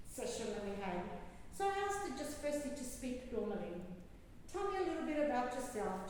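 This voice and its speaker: A woman's voice: fairly high pitch, slight background noise. Woman coach speaking in an echoey hall. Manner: lively storytelling, acts out her own coaching instructions. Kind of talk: prepared speech to a club audience. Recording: echoey hall, distant mic, faint ambience.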